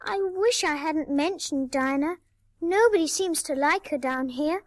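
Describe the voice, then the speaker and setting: Young well-spoken girl, woman acting, very high, echoing long reverb, storybook. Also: measured pace. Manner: prim, wondering, well-spoken. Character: polite curious young girl.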